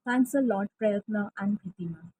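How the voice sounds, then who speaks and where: A woman, a college French teacher, giving an earnest testimonial with frequent pauses and fillers. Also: mid-range voice, dark tone, slight background noise, low-quality recording. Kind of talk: recorded testimonial.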